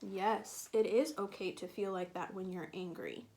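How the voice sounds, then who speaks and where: A woman teacher reading a picture book to young children, explaining patiently and pausing to ask them questions. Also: medium pitch, fairly even intonation, a little mellow. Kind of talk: children's read-aloud with questions to listeners.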